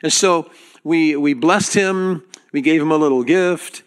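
A man preaching to his congregation as their pastor, speaking earnestly and directly with halting restarts. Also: on the high side, smooth. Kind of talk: sermon.

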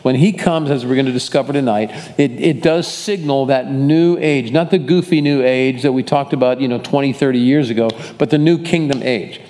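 A male pastor walks his congregation through scripture in a loose, rambling way, stopping often to correct himself. His voice sits at an everyday pitch for a man. It rings out with a long reverb, as it would in a church sanctuary. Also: normal speed, hardly any pauses. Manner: warm, rambling, self-correcting delivery. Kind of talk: casual Bible study teaching.

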